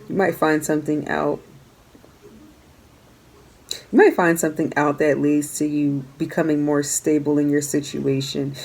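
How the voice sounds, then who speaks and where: A woman giving a casual tarot reading to her viewers, talking earnestly and repeating her phrases, her voice going hoarse. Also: low-pitched, a little mellow. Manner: earnest, repeats phrases while coaching the listener. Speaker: tarot reader.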